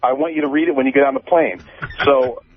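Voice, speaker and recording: A man's voice: mid-range voice, fairly even intonation, fast talker, crowd noise. A man calling into a radio show over a phone, casually telling personal stories in a rambling, profane, repetitive way. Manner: rambling, profane, repeats himself. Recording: tinny phone line.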